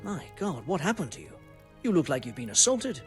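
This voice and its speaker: An actor playing a stubborn, officious medieval monastery steward in a video game, firm and curt. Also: male voice, a little high, very animated, brisk, faint music behind. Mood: startled concern. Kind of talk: video game cutscene acting.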